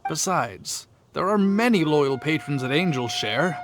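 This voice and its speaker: An actor playing a polite, friendly, modest gentleman winemaker in a video game, speaking in a courteous, earnest, slightly hesitant way. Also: fairly high pitch, lively intonation, measured pace, background noise. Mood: tactful, cautious. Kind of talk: scripted video game character acting. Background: background music.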